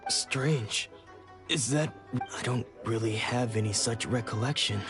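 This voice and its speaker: Brooding, formal swordsman played by a man, middling-pitched male voice, game drama with music behind. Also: a little slow, close to an everyday voice. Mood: puzzled. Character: troubled, humble swordsman who has lost his memories. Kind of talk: English video game voice acting.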